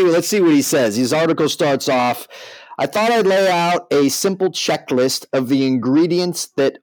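Man, medium pitch, podcast co-host, casual commentary and read-aloud, dry sound. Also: a little mellow, dry recording, poor audio.

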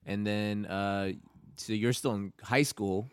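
A man hosting a casual podcast interview, relaxed and friendly, with plenty of fillers as he introduces his guest. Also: on the low side, takes it slowly, clean tone, no room sound, studio quality. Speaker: podcast host.

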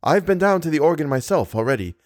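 A man's voice: a little high, big pitch swings, fast, darkish tone, dry recording, studio quality, pitched above the performer's own voice. A man voicing a frantic, harried male stage manager who roars and fumes in exasperation. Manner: roaring, exasperated, urgent.

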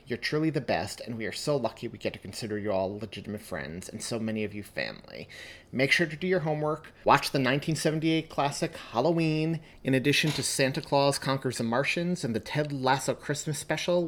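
A man with a mid-pitched voice hosts a podcast. He delivers scripted announcements in an upbeat, emphatic style, addressing his listeners familiarly and with enthusiasm. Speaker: podcast host.

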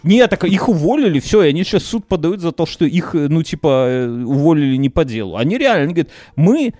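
A man whose first language is Belarusian riffs sarcastically in a casual podcast. His pitch swings a lot as he talks. The sound is dry and close, with no room around it. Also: quick pace, no room sound. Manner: animated, sarcastic. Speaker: podcast co-host. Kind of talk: casual chat.

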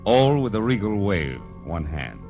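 A sardonic private detective in a 1940s radio noir. The voice is deep, dry and slangy, carrying the story like a case report. Music plays clearly underneath. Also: male voice, very animated, unhurried, highs cut off. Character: wry, hard-boiled male private detective narrating his case. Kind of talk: 1940s radio acting, first-person noir narration. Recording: old radio broadcast recording.

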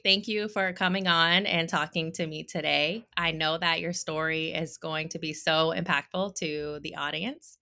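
Woman podcast host, low pitch, gravelly, reads an earnest scripted intro. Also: bright, forceful tone. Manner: reading earnest tribute.

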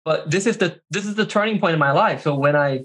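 A man's voice: a little high, quick pace, a bit bright, husky. A man in his twenties from Malaysia, telling his personal story as a podcast guest with emphatic repetition. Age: 20s.

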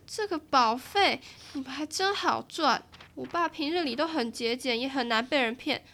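A woman voicing a sharp, sarcastic young woman who has shut herself in at home, in an audio drama. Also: high-pitched. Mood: subdued. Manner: sharp, quick to snap, sarcastic.

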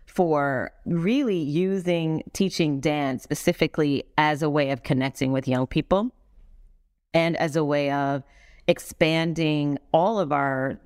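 A woman's voice: deep voice, steady tone, unhurried, a little mellow, smooth, no room sound, studio quality. A middle-aged woman guest speaking earnestly and thoughtfully in a casual interview. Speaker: guest, executive director of a foundation.

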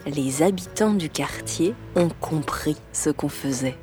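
A woman tells her own story in a very slow, deliberate way. Her voice is notably deep for a woman. Faint music runs behind her. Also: a little mellow. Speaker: story guest speaking in French.

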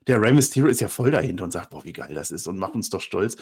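Husky, lively man bantering quickly. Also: low voice. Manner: joking, ironic asides. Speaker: wrestling show review co-host. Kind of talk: casual opinionated banter.

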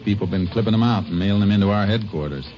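An actor playing a steady, laconic Texas Ranger in a 1950s radio drama, who also narrates. He talks in a terse, low-key, determined way. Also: very fast, close to an everyday voice. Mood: firm. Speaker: lead actor and first-person narrator. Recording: muffled vintage radio broadcast.

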